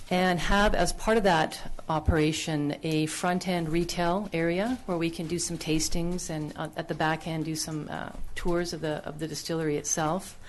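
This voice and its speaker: A woman presenting her business plan at a town council public hearing, speaking earnestly into a podium microphone with frequent fillers and restarts. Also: low-pitched. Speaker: business applicant. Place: council chamber.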